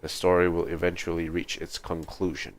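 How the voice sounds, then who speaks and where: A man livestreaming a game, thinking aloud in a casual, halting, musing way. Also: deep voice, monotone. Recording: faintly noisy livestream.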